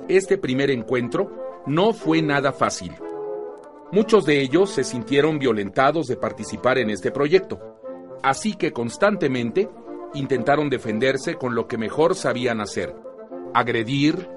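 Guy playing patient mexican teacher narrating a radio soap, lively intonation, music underneath. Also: mid-range voice, unhurried, lightly acted. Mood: reflective. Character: patient male teacher narrating his teenage students' stories. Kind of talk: scripted radio drama narration.

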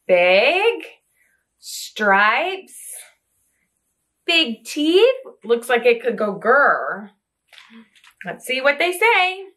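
Lady in her 30s doing kids story time, slow pace, bright voice, really expressive. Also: high voice. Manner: expressive, exaggerated, asks the listener questions. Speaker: children's librarian hosting story time. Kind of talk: animated picture-book read-aloud for young children. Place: small curtained room indoors.

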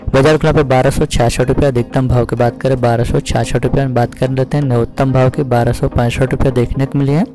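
A man's voice: medium pitch, little pitch movement, fast talker, mellow, poor audio. A man hosting a market update, reading out prices as scripted narration in a formulaic, repetitive recital. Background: music.

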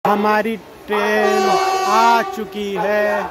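A man narrates a casual travel vlog in halting, repetitive short phrases. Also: high-pitched, completely flat delivery. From Uttar Pradesh, India. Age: 20s. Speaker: travel vlogger. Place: outdoor railway platforms and inside a train. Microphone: phone at arm's length. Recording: loud railway station and train noise.

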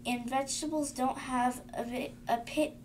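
Female co-reader, high pitch, picture book read-aloud with small stumbles and restarts, slight background noise. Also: fairly even intonation. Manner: halting reading with stumbles and self-corrections.

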